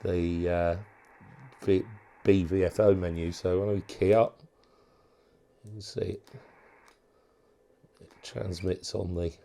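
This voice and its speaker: A man giving a casual, unscripted walkthrough as a hobbyist presenter, thinking aloud in a halting way. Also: low voice, flat delivery, gravelly, dark tone. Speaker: hobbyist presenter demonstrating a modified radio.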